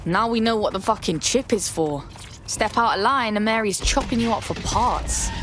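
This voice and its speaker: A woman's voice: mid-range voice, expressive, normal speed, background noise, close to an everyday voice. An actress playing a flippant female street hacker in a video game, with a British accent and slangy, sweary talk. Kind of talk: scripted video game acting.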